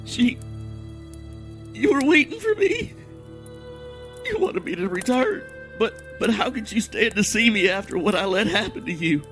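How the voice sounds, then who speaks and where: Brooding, guilt-ridden man, highly expressive. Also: measured pace, long pauses, faint music behind, heavily acted. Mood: anguished disbelief. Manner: formal, brooding. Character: guilt-ridden, self-condemning wandering man telling his tale. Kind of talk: audio drama acting.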